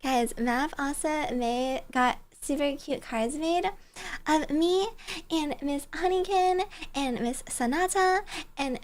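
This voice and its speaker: A woman livestreamer chatting casually and greeting viewers in a bubbly, giggly, cutesy and playful manner. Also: high voice. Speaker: livestreamer, virtual bear-girl host.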